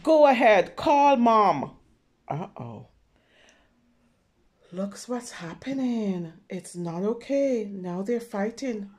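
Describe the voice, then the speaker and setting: A woman's voice: mid-range voice, dark tone, slightly rough. A woman reading a picture book aloud to young children, explaining simply and checking in with questions. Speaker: children's read-aloud storyteller.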